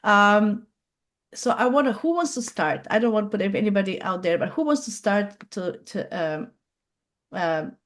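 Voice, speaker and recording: Woman talking haltingly. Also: medium pitch, expressive. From Croatia. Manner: halting, many fillers and restarts, searches for words. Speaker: astrologer hosting a group chat. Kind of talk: casual group video chat.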